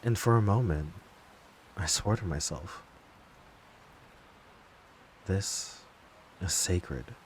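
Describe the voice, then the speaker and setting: A man playing a wounded, betrayed lover turned bitter and vengeful in a slow, deliberate dramatic audio monologue. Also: low-pitched, animated, a little hiss or hum, heavily acted. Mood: tender, wistful. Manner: slow, deliberate, brooding, heavy pauses. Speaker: voice actor.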